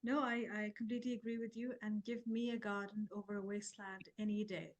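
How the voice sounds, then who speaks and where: A woman's voice: medium pitch, level tone, brisk, poor audio. Encouraging woman hosting a fireside chat. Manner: warm encouraging, brief affirmations. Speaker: host. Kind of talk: interview hosting.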